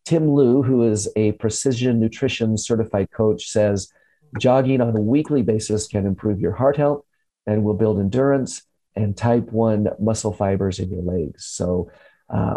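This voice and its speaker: A man hosts a casual health segment over a remote call. His voice is mellow and dark, the sound dry and close. He talks in an upbeat way, slips in puns and laughs easily. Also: lowish voice, normal speed, dry recording, rough recording. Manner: upbeat, punny, laughs often. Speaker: radio show host. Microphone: remote call from home.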